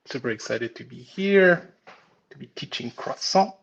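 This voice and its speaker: A man's voice: on the high side, expressive, measured pace, a bit bright, dull audio. A man with a French accent, a pastry instructor, cheerfully greeting viewers one by one as a live lesson begins. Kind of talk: live-stream greeting chat. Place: home kitchen.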